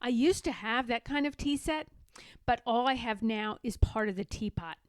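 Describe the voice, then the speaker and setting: Woman playing young badger girl, high-pitched, eager, insistent, plain-spoken, storybook character, close dry sound. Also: moderate pace, smooth, no room sound, lightly acted. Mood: earnest. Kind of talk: children's storybook read-aloud.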